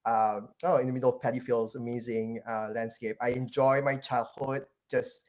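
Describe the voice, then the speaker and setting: A man's voice: mid-range voice, level tone, normal speed, a little mellow. A man in his thirties from Malaysia, a podcast guest talking casually about his career and often restarting with fillers. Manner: rambling, many fillers and restarts. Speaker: guest, venture capital partner. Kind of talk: casual interview, life story.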